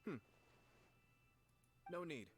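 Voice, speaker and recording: An actor playing a courteous young clan lord in an anime game, speaking in a formal, polished, measured way. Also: male voice. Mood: composed. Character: courteous, composed young nobleman, head of a clan.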